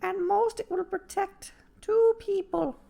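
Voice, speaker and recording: A man voicing a frail, ancient holy woman in a fantasy story, with a thin, creaky old voice and a solemn, slow way of speaking. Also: very high voice, steady tone, mellow, pitched above the performer's own voice, exaggerated voice. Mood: grave warning.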